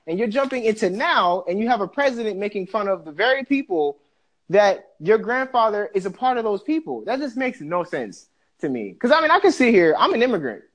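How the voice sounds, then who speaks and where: A podcast co-host from the Bahamas, ranting animatedly and profanely in a casual discussion. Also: medium pitch.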